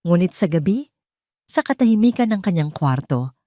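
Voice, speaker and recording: A woman's voice: lowish voice, sing-song, moderate pace, mellow, no room sound, clean studio sound. A woman reading a scripted dramatic story as its narrator.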